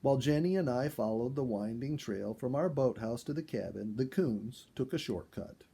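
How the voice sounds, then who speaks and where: A man reading a first-person animal story aloud in an expressive storyteller's style. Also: mid-range voice, moderate pace, vocal fry, slightly rough. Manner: expressive, acts out dialogue. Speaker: storyteller, reader.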